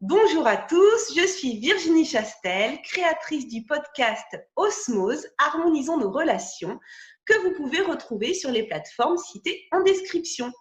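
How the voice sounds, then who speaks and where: A woman interviews a guest over a video call, her voice high and bright in pitch. She talks in a chatty, hesitant way, full of fillers and restarts. The room around her rings with noticeable echo. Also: sing-song, a little slow. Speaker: podcast host interviewer.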